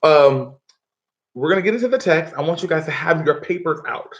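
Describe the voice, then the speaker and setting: Higher-pitched male teacher on muffled livestream. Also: expressive, brisk. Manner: instructive, checks in often with "right?" and "okay?". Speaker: schoolteacher. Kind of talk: live online reading lesson for pupils. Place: living room at home. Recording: dull, lo-fi livestream audio.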